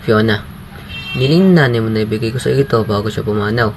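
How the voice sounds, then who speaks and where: A man's voice: lowish voice, fast talker, slight background noise, lightly acted. A man playing a kindly, helpful neighbour in an amateur radio drama. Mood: kindly, solemn.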